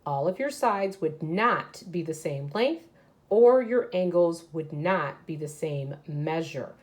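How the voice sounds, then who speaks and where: A woman's voice: sits low, animated, takes it slowly. A woman teacher reading a children's educational book aloud and explaining it to young pupils as she goes. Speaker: third grade teacher.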